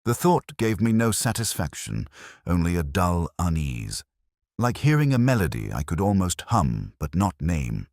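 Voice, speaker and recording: Male narrator for literary detective fiction: very deep, husky, brooding and measured, recorded dry and close. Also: sing-song, a little mellow, dry recording. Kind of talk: scripted first-person detective story narration.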